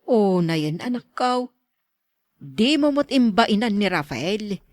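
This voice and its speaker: A woman's voice: mid-range voice, sing-song, slow, no echo, clean studio sound. A woman with an Ilocano accent acting a nagging, sensible mother in a radio drama. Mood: reproving. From Philippines.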